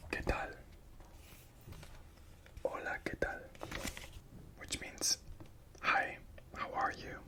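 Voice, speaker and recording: Slow, soft whispering language tutor. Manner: whispering softly, repeating each sound slowly; patient, gently encouraging. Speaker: Spanish teacher. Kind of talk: whispered ASMR language lesson.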